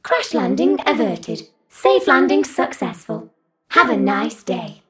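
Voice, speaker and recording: Slow female spaceship computer acted by a woman. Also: big pitch swings, a bit bright. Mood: calm, impersonal. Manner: measured, formal announcement delivery. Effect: pitch-shifted doubling and spacey reverb. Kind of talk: scripted sci-fi announcement.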